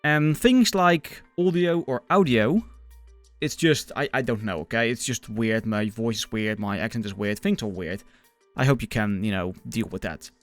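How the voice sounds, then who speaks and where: A man's voice: fairly low pitch, moderate pace, no echo. Young Dutch-accented man over background music. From Netherlands. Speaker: gaming video host. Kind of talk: casual gaming commentary.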